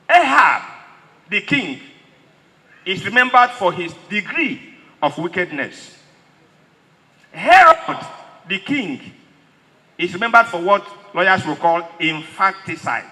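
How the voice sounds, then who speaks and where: A man with a Nigerian accent preaching a sermon in an emphatic, declamatory manner, repeating key phrases deliberately and putting questions to his listeners. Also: high voice, sharp tone. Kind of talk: sermon with scripture readings and rhetorical questions. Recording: echoey hall with long reverb.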